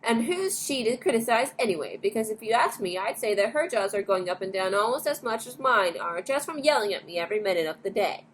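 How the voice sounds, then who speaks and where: A woman voicing a loud, brash, boastful young girl who chatters away as a storybook character. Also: medium pitch, expressive, fairly quick, few pauses, pitched above the performer's own voice, close to an everyday voice, rough recording. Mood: defiant. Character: loud, boastful, fast-talking gum-chewing young girl. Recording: clear background noise.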